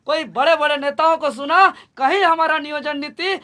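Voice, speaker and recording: A man's voice: extremely high pitch, fairly even intonation, normal speed, pushed and bright. A young man with a Jharkhand accent speaking as a student movement leader, making an impassioned political statement in an emphatic, repetitive, rallying manner.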